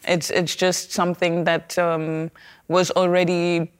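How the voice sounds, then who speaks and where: A woman from Trinidad and Tobago with a Trinidadian accent, a guest speaking thoughtfully in an interview and often pausing with fillers and restarts. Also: on the low side, level tone, clear, resonant tone. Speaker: opera singer, guest. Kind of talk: conversational interview.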